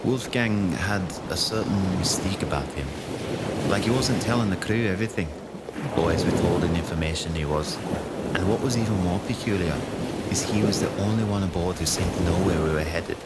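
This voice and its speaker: A man's voice: deep voice, fairly quick, heavy background noise, natural voice. An actor playing an eager, down-on-his-luck sailor with breezy, old-fashioned folksy slang in an audio drama. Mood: uneasy narration. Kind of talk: audio drama acting and first-person narration.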